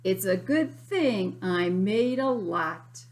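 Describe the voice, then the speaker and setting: Warm old picture-book grandma, voiced by a woman reader, generous and pleased, leisurely with expressive pitch. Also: takes it slowly.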